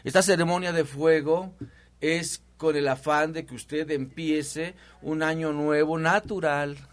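A talkative man, his voice sitting a little high. He promotes a clinic in a loose, repetitive way, full of fillers and restarts. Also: fairly even intonation, measured pace. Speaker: host of a health show. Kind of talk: promotional radio talk.

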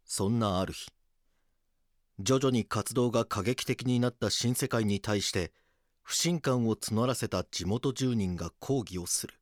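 A man reading scripted documentary drama narration in a solemn, measured manner. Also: lowish voice, no room sound, clean studio sound, lightly acted.

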